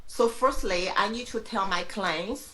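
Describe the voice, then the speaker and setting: A woman being interviewed on a podcast who speaks halting non-native English in simple words, with frequent restarts, sounding frank and sure of herself. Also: mid-range voice, fairly bright tone. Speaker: guest, real estate agent.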